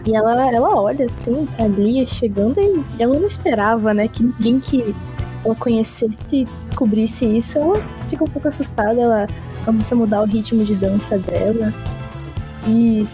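A woman's voice: on the high side, moderate pace, warm tone, soft background music. Sex: woman. Role: chatty tabletop player. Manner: loose, filler-heavy talk. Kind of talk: casual in-game description.

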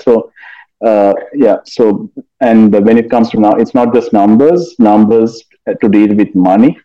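A middle-aged man speaks in a lowish, measured voice, often pausing on fillers as he answers questions. The audio is dull and lo-fi. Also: warm tone. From Sri Lanka. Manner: measured, hesitant with frequent fillers. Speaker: guest, finance executive and author. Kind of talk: casual interview answers.

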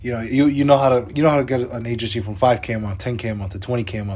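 A man's voice: mid-range voice, fairly even intonation, rapid-fire, darkish tone, husky. A man in his twenties co-hosting a podcast, chatting in an upbeat, loose way with lots of fillers. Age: 20s. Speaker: podcast co-host. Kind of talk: casual podcast chat.

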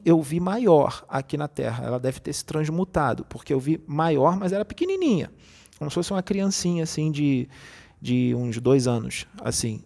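Gravelly man explaining spirituality. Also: a little high, dark tone. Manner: explanatory, repeats "entendeu?". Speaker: spiritist medium. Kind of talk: casual spiritual talk.

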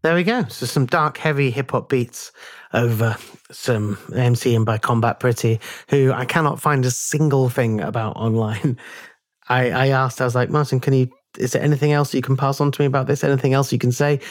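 A man co-hosting a music podcast in casual chat, self-deprecating and quick to laugh. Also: mid-range voice, no room sound, clean studio sound. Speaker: podcast co-host. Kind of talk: casual radio-style chat.

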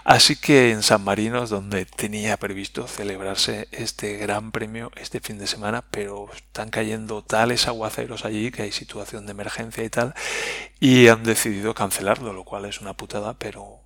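A man with a Spain Spanish accent talks through his thoughts on his own podcast, pausing and restarting as he muses aloud. His voice has a gravelly, creaky edge. The recording is close and dry, with no room echo. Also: fairly low pitch, level tone, a bit bright. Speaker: podcast host. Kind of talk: reflective personal podcast monologue.

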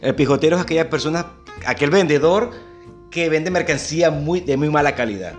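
Venezuelan man, casual storyteller, quick to laugh, faint music underneath. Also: on the high side, animated. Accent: Venezuelan Spanish. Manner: chatty, laughs often, storytelling. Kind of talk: casual chat.

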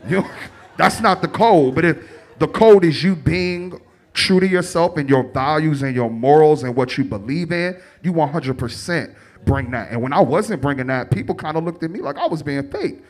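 A man's voice: fairly high pitch, expressive, normal speed. A young man with a Chicago accent speaking on a panel, telling stories animatedly, acting out quotes and laughing often. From Chicago, United States. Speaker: tech panelist. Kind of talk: panel discussion.

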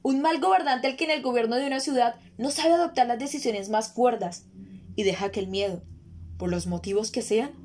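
A woman's voice: on the high side, darkish tone, lightly acted. A woman playing a stern, proud king of ancient Thebes in a solemn, formal reading of Greek tragedy. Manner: solemn, declamatory, formal oratory.

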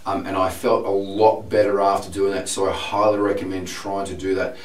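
Congested, hoarse man, roomy distant recording. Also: sits low, level tone. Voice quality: slightly hoarse, strained. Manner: candid, detailed, unhurried self-report. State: congested, recovering from laryngitis. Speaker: voice teacher. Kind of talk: video diary. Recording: roomy, distant, piano in background.

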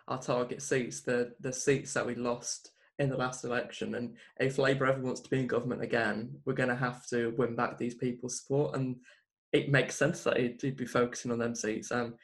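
Mid-pitched man discussing politics. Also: level tone, moderate pace. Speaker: podcast panellist.

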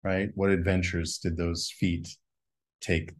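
A man's voice: low-pitched, flat delivery, slow. A man speaking as a guest in a reflective spiritual conversation, musing and asking a string of rhetorical questions. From United States.

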